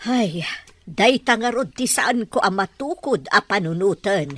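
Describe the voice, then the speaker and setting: A woman's voice: medium pitch, expressive, a little slow, a bit bright. An actress playing an exasperated older mother in a radio drama, scolding and fretful.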